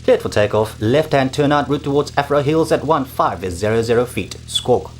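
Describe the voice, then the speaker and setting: A man playing a pilot, reading back clearances in scripted radio-call practice. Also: mid-range voice, animated, normal speed, a little hiss or hum, lightly acted. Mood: procedural. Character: male pilot calling the tower.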